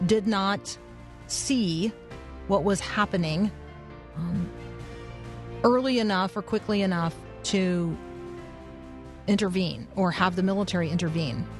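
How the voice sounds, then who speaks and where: A woman hosting a radio show, speaking earnestly and deliberately as she comments and moves the program along. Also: medium pitch. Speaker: radio host. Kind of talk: live radio interview and commentary.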